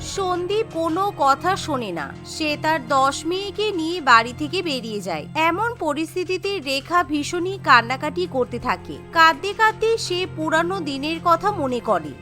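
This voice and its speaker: A woman's voice: very high-pitched, lively intonation, moderate pace, music in the background. A woman narrating a scripted cartoon story as its storyteller.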